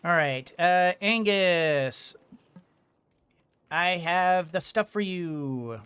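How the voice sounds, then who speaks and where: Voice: mid-range voice, lively intonation, very slow, fairly bright tone, smooth, dry recording. A gamer giving casual let's play commentary, thinking aloud and often repeating words. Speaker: gaming video host.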